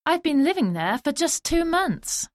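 A woman reading scripted dialogue lines for a language lesson, slow and clearly spoken. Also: high voice, very animated, no room sound, studio quality.

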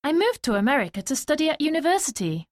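A woman reading scripted dialogue lines for a language lesson, slow and clearly spoken. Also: high-pitched, big pitch swings, dry recording, studio quality.

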